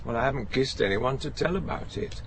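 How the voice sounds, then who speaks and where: An actor playing a lonely, anxious, gentle older man, hesitant and plain-spoken, in a naturalistic radio play. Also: medium pitch, expressive, brisk, a little hiss or hum, close to an everyday voice. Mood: reluctant, puzzled. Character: lonely, anxious, gentle old man, reluctant local celebrity.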